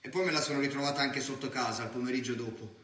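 A man's voice: medium pitch, very fast, fairly bright tone, close to an everyday voice. An actor playing an anxious, streetwise teenage boy in an emphatic, colloquial theatrical monologue. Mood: uneasy, brooding. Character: anxious, restless teenage boy, streetwise student narrating his evening. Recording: echoey theatre stage from far off.

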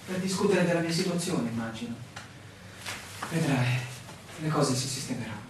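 An actor playing a weary, bewildered land surveyor in a radio drama, theatrical and searching, full of questions. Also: male voice, a little high, lively intonation, moderate pace, lightly acted. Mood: anxious, persuasive. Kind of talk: Italian stage-style radio drama acting. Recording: very echoey, distant, clearly noisy room recording.

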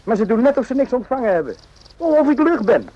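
An actor playing a naive, randy young soldier in a radio drama, rambling and jokey in an eager, confiding way. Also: male voice, extremely high pitch, sing-song, fast, heavily acted. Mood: eager, joking. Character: chatty, naive, randy young army soldier, former circus hand. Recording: muffled lo-fi old radio recording.

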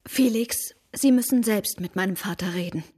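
A woman's voice: mid-range voice, normal speed, a little mellow, slightly rough, natural voice. An actress in a radio drama playing a prim, reserved young woman, hesitant and reproachful in manner. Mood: firm. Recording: long hall-like reverb.

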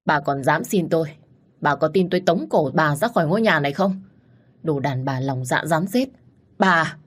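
A woman voicing an enraged older master of the house, shouting and scolding harshly. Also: low voice, expressive, normal speed.

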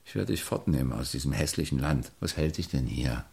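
An actor in a German radio play, playing a carefree, charming and moody writer who cajoles his lover, with a gravelly voice. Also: a bass voice, animated, a little fast, darkish tone, heavily acted. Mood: wistful, coaxing.

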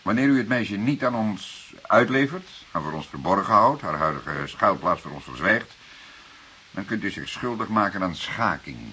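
An actor playing a senior police detective in a Dutch radio drama, deliberate, formal and dryly sardonic, with unhurried authority. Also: male voice, fairly low pitch, animated, bright, forceful tone. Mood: stern, sardonic. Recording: hissy old radio play recording.

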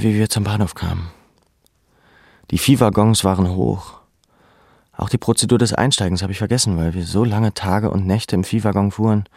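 A man playing a camp survivor who recalls his deportation in measured, sober, reflective first-person narration. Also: on the low side, vocal fry, darkish tone, breathy edge, no echo, clean studio sound. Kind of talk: literary first-person narration of an audio drama.

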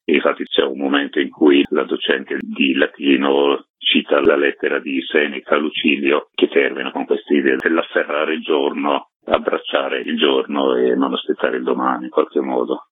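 A man's voice: rumbling low, level tone, normal speed, hardly any pauses, husky, no echo. A man chatting as an interview guest, a documentary maker explaining his work in a conversational way. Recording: dry, narrow phone-like line.

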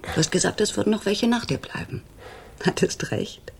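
An actress playing a cold, calculating femme fatale in a German radio crime drama, coolly ironic and deliberate. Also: female voice, mid-range voice, very animated, vocal fry, husky, exaggerated voice. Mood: coolly mocking. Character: cold, calculating femme fatale double-crossing her accomplice.

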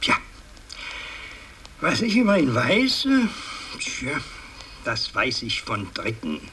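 An actor playing a pompous, fussy older aristocratic patriarch in a radio drama, speaking in a halting, ceremonious, long-winded way. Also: male voice, medium pitch, huge pitch swings, pushed and bright, exaggerated voice. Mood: solemn, fussy. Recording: distant, noisy 1960s radio recording.

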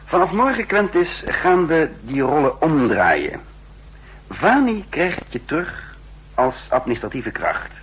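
An actor playing a cold, commanding spymaster who narrates his own story in a deliberate, formal manner in a 1960s radio drama. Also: on the high side, very animated, a bit bright, husky, full character voice, poor audio. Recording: hissy, humming 1960s radio recording.

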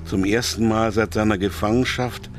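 A man's voice: on the low side, fairly even intonation, moderate pace, soft background music, natural voice. An actor playing an anxious captive businessman trying to stay composed, in radio play monologue and narration. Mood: desperate, searching.

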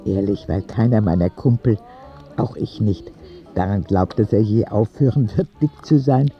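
A man's voice: fairly low pitch, normal speed, muffled recording, soft background music, close to an everyday voice. A man playing a frank, cheeky ten-year-old boy who tells his story in candid, wry audio drama narration, in standard German. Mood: cheeky, matter-of-fact.

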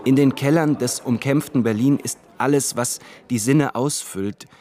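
A man's voice: medium pitch, moderate pace, mellow, slight background noise. A man delivering scripted commentary in a radio play. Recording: crowd chatter behind voice.

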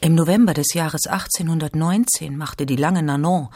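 A man's voice: on the high side, moderate pace, dark tone, no echo, studio quality. A man reading literary narration from a script for a radio drama.

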